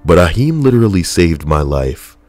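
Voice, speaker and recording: A young man with a very low voice recounts a personal story in a blunt, conversational way, swearing freely. Soft background music plays faintly under his voice. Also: sing-song, normal speed. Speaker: veteran storyteller.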